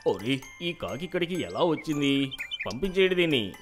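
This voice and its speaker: A woman's voice: deep voice, big pitch swings, moderate pace, loud music behind the voice, voice pushed down. A woman voicing a haughty, brusque male village doctor in a children's story. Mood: annoyed. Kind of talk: storybook character voice.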